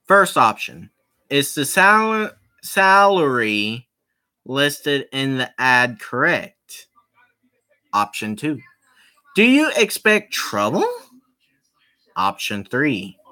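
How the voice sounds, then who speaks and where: A game streamer of unclear sex reading a visual novel aloud, halting and explaining who is speaking or thinking. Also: mid-range voice, very animated, pushed and bright. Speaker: gaming streamer. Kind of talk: live gaming commentary, reading visual novel dialogue aloud.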